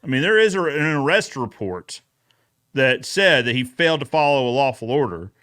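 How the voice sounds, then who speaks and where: A man hosting a casual recap chat, retelling events in an animated way and acting out bits of dialogue. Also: medium pitch, moderate pace. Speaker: show host.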